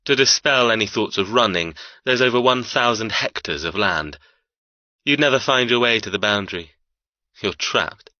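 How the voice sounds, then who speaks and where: Cold arrogant rich brother for an audiobook, sharp menacing delivery, very dry sound. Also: male voice, fairly low pitch, expressive, no echo, dull audio. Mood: cold menace. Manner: threatening, deliberate, arrogant. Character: cold menacing heir, cruel and possessive brother. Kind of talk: dramatic audiobook character acting.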